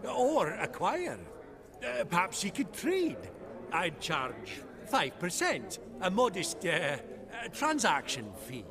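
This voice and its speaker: An actor playing a shrewd, chummy, foul-mouthed trader with a Scottish accent in a video game. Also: male voice, high-pitched, wildly swooping pitch, takes it slowly, crowd noise, full character voice. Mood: wheedling.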